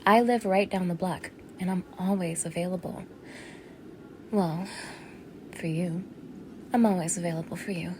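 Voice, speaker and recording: A woman's voice: fairly low pitch, fairly even intonation, moderate pace, pauses often, slight background noise, natural voice. A woman acting in an intimate audio roleplay as a teasing, fake-friendly young neighbour with obsessive menace under her casual, coaxing talk. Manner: casual, coaxing, teasing, with small laughs and hushed asides.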